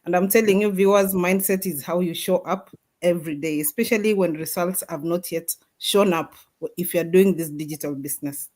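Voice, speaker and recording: Earnest low-voiced woman guest. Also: normal speed, darkish tone. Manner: earnest, emphatic, preaching tone. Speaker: guest speaker. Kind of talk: motivational testimony.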